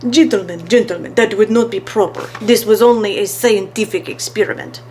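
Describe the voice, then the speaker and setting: A woman voicing a formal, deliberate male professor, a slippery showman in a children's storybook. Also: medium pitch, a little mellow, slight background noise, natural voice. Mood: protesting. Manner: deliberate, formal, tags sentences with "yes".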